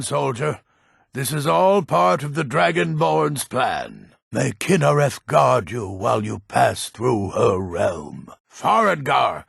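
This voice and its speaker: An actor playing a grumpy, proud old Nordic man in a fantasy video game, with a gravelly, raspy voice and a gruff, theatrical delivery. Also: medium pitch, a bit bright, no echo, studio quality, heavily acted. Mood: indignant. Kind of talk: video game character dialogue.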